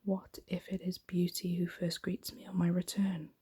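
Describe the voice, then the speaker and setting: A woman's voice: on the low side, completely flat delivery, normal speed, warm tone. A woman voicing a poor, anxious, loving merchant father in a whispery bedtime storybook reading. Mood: worried. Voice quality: whispery, breathy.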